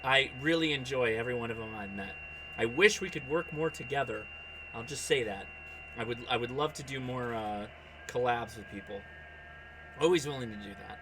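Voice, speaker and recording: A man hosting a hobby livestream, chatting casually and reacting aloud in an excitable way, often addressing people by name. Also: medium pitch, normal speed, noisy background. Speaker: host of a ham radio livestream. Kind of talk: casual livestream chat and running commentary while operating radio.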